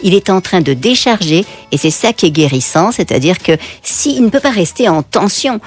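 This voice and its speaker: A Parisian woman, a psychotherapist guest on a radio show, explaining calmly in a teacherly way. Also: lowish voice, normal speed, faint music behind. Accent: Parisian French. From Paris, France. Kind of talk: explanatory interview answers.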